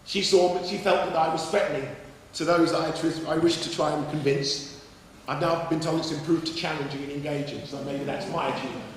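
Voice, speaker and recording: A man hosting an event, relaxed and slightly halting as he introduces a speaker. His voice sits a bit high. He is caught far from the mic, in a reverberant hall with faint noise. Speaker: event host. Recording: distant mic in an echoey hall.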